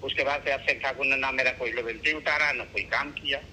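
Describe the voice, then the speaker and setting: A man's voice: medium pitch, steady tone, fast, bright, forceful tone. A man explaining his side deferentially over a phone line, often addressing the listener as sir. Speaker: caller, former associate. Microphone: phone call recording. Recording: noisy, tinny telephone line.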